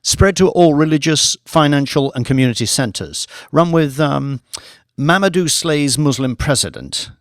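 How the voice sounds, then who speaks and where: A man's voice: a little high, unhurried, dry recording, clean studio sound. A man voicing a cool, scheming British government operative in an audiobook, commanding, clipped and businesslike. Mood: scheming, brisk. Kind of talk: audiobook character voice.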